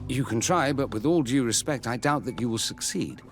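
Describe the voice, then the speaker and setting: A man's voice: medium pitch, normal speed, over music, lightly acted. A man acting a cautious, respectful army camp doctor in a video game, polite and wary. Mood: wary, confiding.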